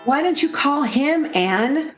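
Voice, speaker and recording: A woman's voice: high voice, lively intonation, slow, roomy sound, soft background music. A woman playing a sensible, protective friend in 1940s-style radio theater, coaxing and theatrical. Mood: reassuring, practical.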